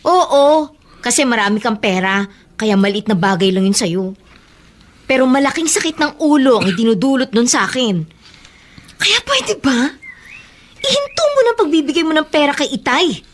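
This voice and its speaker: A proud young woman who does not bend, firm and commanding in a radio drama. Her voice rises high and swings widely. She sounds sure of being obeyed. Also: sing-song, moderate pace. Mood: scolding. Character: proud, strict young woman, elder sister.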